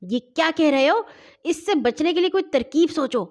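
A woman voicing a stern, fearless mother in a storybook, with a sharp, commanding manner. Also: high-pitched, animated, voice pushed up. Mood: scolding.

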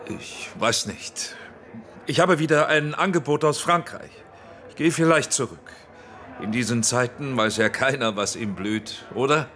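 An actor playing a celebrated, wary film director in a German radio drama, hesitant and given to sighs and sudden outbursts. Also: male voice, mid-range voice, unhurried, pushed and bright. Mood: modest, uncertain. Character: celebrated male film director, wary, easily outraged, vulnerable.

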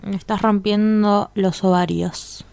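A sharp-tongued, inquisitive woman voiced by an actress in a radio play. She speaks in a medium register and challenges whoever she talks to. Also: mid-range voice, steady tone, slow pace, creaky. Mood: exasperated. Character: exasperated, questioning woman, sharp-tongued lover. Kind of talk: radio drama dialogue.

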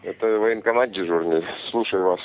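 Brief: low-voiced courteous officer, tinny phone line. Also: male voice, fairly quick. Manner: polite, calm, formal. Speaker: duty officer.

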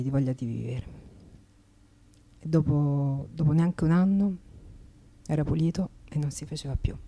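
Low, weary Roman streetwalker. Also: female voice, warm tone. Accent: Roman Italian. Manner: subdued, plainspoken. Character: weary, frank Roman streetwalker confiding her painful life. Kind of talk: intimate dramatic monologue.

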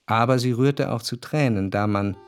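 A man's voice: mid-range voice, smooth, close to an everyday voice. Actor as reflective male narrator over music. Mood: wistful, contemplative. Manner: contemplative, lyrical, measured phrasing. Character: reflective, nostalgic gentleman narrator recalling his past. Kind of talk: literary radio drama narration. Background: faint instrumental music.

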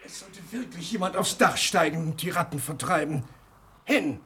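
An actor playing a fussy, grumbling old gentleman with a thin, husky voice in a radio play. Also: high-pitched, animated, moderate pace, a little hiss or hum. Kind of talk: German radio play acting.

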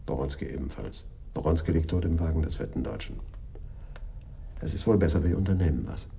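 An actor playing a reflective, matter-of-fact policeman who speaks deliberately and informally in a radio play. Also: very deep voice, expressive, gravelly, very noisy, exaggerated voice. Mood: pondering.

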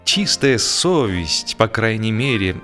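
A man narrating a humorous literary story as a radio actor, expressive and theatrical, drawing out words for emphasis. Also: lowish voice, takes it slowly, faint music behind. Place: radio studio.

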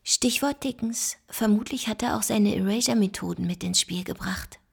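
An actress playing an analytical investigator in a radio play, speaking in a deliberate, explanatory, literate way. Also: female voice, mid-range voice, vocal fry, slightly rough. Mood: reflective, explaining. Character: analytical woman investigator puzzling out a secret code. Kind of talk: German radio play dialogue.

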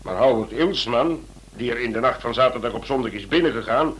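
A man playing a senior police chief in a radio play, speaking in a measured, authoritative way. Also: mid-range voice, fairly bright tone, slight background noise, natural voice. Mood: rueful, insistent.

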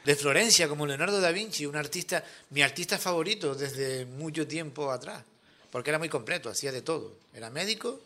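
A middle-aged man, a craftsman guest answering questions in a relaxed, storytelling way on local radio. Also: fairly high pitch, a little fast. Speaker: ceramics artisan guest. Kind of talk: casual radio interview answers. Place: radio studio.

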